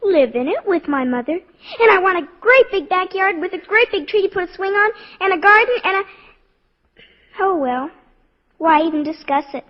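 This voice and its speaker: An earnest little schoolgirl of 1940s radio. Her high voice is prim and matter-of-fact, with grown-up phrasing. It comes through dull and washed in long reverb. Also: expressive, normal speed. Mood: earnest, wistful. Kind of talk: 1940s radio drama acting. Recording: muffled old radio recording, long reverb.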